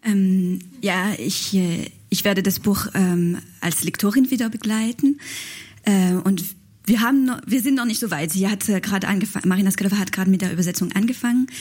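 A woman with a mid-pitched voice speaks off the cuff as a literary translator on a panel. She is earnest and halting, restarting and correcting her phrasing as she goes. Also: a little mellow. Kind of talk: spontaneous panel discussion.

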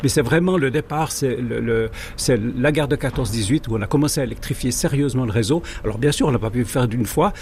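A man's voice: medium pitch, fast talker, few pauses, a little mellow, slight background noise. Digressive Swiss French man, faint ambience. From French-speaking Switzerland, Jura arc. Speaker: guest, amateur railway historian. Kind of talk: conversational historical explanation.

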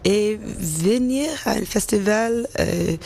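A woman in her thirties, a singer-songwriter from Toronto, speaking French with an English-speaking Canadian accent, pausing often to find her words in a radio interview. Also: mid-range voice, vocal fry, darkish tone. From Canada, born in Toronto. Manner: hesitant, searching for words, many fillers.

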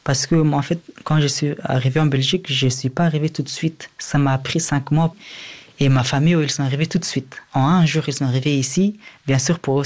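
A man's voice: mid-range voice, a little mellow. A young adult man who learned French as a second language, giving personal testimony as an interviewee. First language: a language other than French.

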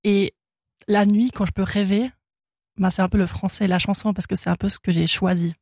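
A woman's voice: medium pitch, fairly even intonation, normal speed, darkish tone, no room sound, clean studio sound. A young Swiss woman whose first language is German, a singer chatting casually in a radio interview, laughing often and full of fillers and restarts. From Switzerland. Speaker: guest singer-songwriter. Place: radio studio.

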